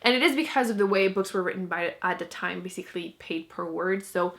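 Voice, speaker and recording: A woman's voice: fairly low pitch, a little fast, creaky, a bit bright. A young woman whose first language is French, chatting casually to camera as a book vlogger, laughing often and poking fun at herself.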